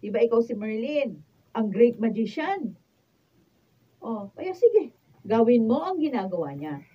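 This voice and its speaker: A nagging, sarcastic woman in a radio drama. Her voice is high and husky with big swings in pitch. The sound is somewhat muffled and lo-fi. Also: big pitch swings, a little slow, exaggerated voice. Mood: sarcastic, challenging. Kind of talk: Filipino radio drama acting. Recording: dull, lo-fi behind-the-scenes studio recording.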